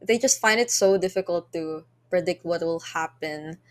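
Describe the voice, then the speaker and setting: A woman's voice: lowish voice, normal speed. A woman hosting an esports podcast in a casual, conversational style. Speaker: podcast host.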